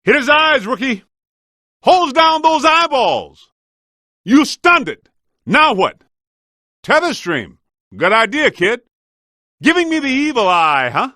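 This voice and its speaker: A man's voice: very high-pitched, very animated, a little slow, pauses often, sharp tone, no room sound, studio quality, heavily acted. A man playing a streetwise, wisecracking ghost hunter in a video game, speaking in a colloquial, wry, plain-spoken way. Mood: excited, urgent. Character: streetwise, wisecracking male ghost-hunting team member.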